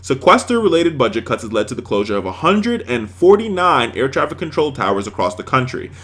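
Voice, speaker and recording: A man's voice: mid-range voice, fairly bright tone. An American man hosting a political talk show, giving emphatic, sarcastic commentary on the news to his audience.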